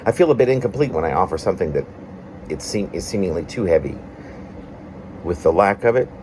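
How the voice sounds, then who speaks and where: Male poet, deep voice, animated pitch movement, reflective halting read of own confessional work, faint hum behind. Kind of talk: reading a confessional prose-like poem aloud.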